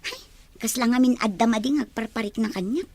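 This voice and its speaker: A woman's voice: fairly high pitch, a little fast, dry recording. A woman playing a probing, insinuating confidante in an audio drama.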